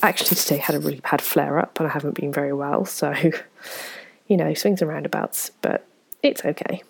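A woman, answering viewer questions in a frank, self-deprecating, rambling way, full of ums and soft whispered asides. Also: deep voice, fairly even intonation, normal speed, vocal fry, breathy edge. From England. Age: 20s. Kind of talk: casual Q&A chat to camera.

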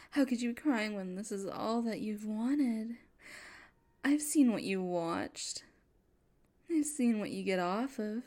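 A woman playing a possessive, unstable, domineering woman in an intimate audio roleplay. She whispers and coos in a breathy, menacing voice. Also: medium pitch, dark tone. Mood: threatening.

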